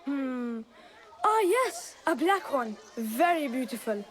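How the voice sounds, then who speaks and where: A woman voicing a cheerful, scrappy young street boy in a game cutscene, chatty and excitable. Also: high-pitched, slow pace, a faint crowd, slight background noise, heavily acted. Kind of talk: cinematic game cutscene acting.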